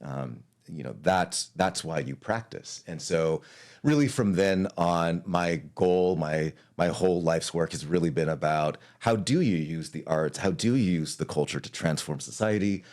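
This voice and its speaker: A man's voice: deep voice, a little slow, darkish tone. A man from Hawaii, an arts leader, talking through his career in a casual interview.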